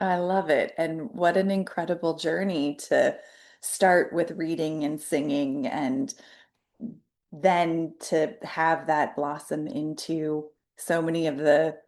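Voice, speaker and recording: A woman's voice: deep voice, steady tone, slow pace, darkish tone. A woman hosting a podcast, introducing her guest and asking questions in an upbeat, enthusiastic way. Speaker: podcast host.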